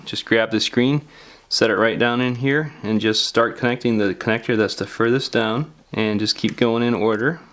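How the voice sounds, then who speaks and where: A man's voice: mid-range voice, fairly bright tone. A man narrating a step-by-step repair tutorial in an informal, plain-spoken, explanatory way. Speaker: DIY phone repair tutorial presenter. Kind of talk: casual step-by-step instructional narration.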